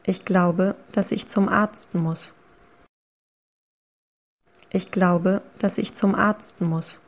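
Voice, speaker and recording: A woman reading short phrases slowly and clearly, saying each one twice for learners. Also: mid-range voice, fairly even intonation. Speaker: language lesson voice. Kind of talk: slow repeated phrase reading.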